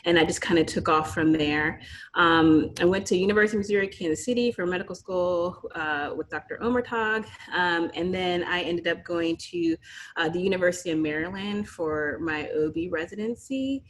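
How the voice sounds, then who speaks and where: A woman fertility fellow introducing herself warmly on a webinar, eager and chatty with fillers. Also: lowish voice, normal speed, slight background noise.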